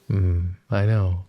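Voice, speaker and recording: A man voicing a lovesick, possessive young mafia boss in an ASMR roleplay, speaking softly and intimately, often close to a whisper. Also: sits low, slight background noise. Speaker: voice actor.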